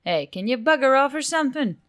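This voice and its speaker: A woman's voice: high-pitched, expressive, fairly quick, pitched above the performer's own voice. Lady doing a grumbly sarcastic young woman adventurer, fantasy story, snappy and fed up. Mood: annoyed.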